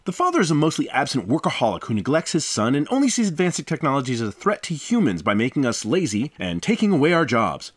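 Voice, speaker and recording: Animated, sarcastic male game reviewer. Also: mid-range voice, fast talker, few pauses. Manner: sarcastic, crude, theatrically emphatic. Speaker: reviewer and host of a visual novel channel. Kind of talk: scripted review commentary.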